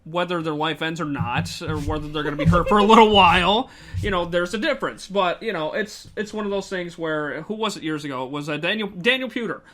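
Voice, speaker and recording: A man's voice: on the high side, fairly quick, sharp tone. A man co-hosting a casual discussion show, rambling with lots of fillers and some swearing. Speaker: co-host.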